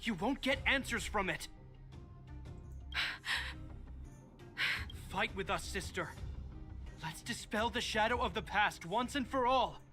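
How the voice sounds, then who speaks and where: A man voicing a steady, cautious young guard captain in a video game, giving calm, measured commands. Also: high-pitched, faint music behind, full character voice. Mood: urgent.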